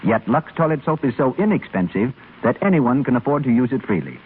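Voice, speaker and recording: A man's voice: mid-range voice, sing-song, fairly quick. A man announcing a commercial in a scripted 1930s radio sales style. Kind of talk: 1930s radio advertising pitch. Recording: muffled scratchy 1930s radio broadcast.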